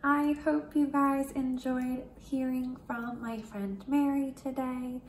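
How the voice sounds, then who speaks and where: A young woman hosting an English-learning channel, speaking slowly and clearly to her viewers from home. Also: high voice, steady tone, echoey room, distant mic, a little hiss or hum. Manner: slow, clear, friendly address to learners. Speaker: host, English teacher. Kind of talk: video outro to viewers. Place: home room with plants and shelves.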